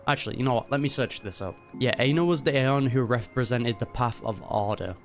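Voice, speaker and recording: A man with an average-pitched voice comments casually on a game's story over faint music. He thinks aloud in an informal, musing way, like a reaction streamer. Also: a little fast.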